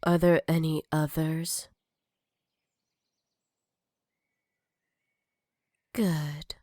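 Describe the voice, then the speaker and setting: A woman's voice: on the low side, a little mellow, no echo. Woman acting a possessive villainess, intimate and dry. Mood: playful, seductive. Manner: breathy, teasing, often dropping into whispers. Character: possessive, jealous female villain, flirty and teasing rival. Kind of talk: intimate ASMR roleplay.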